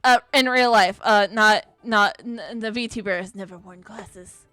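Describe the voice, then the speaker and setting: A woman's voice: medium pitch, unhurried, a bit bright, faint music behind. A woman in her late twenties, chatting casually with her live-stream chat in a giggly, self-teasing way. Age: late 20s. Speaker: livestreamer.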